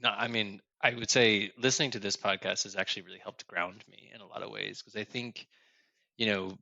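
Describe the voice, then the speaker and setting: Brief: male guest, low-pitched, sharp bright tone, earnest, dull lo-fi call audio. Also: fairly even intonation, brisk, vocal fry, dry recording. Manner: earnest, self-deprecating humor. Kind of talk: casual podcast chat.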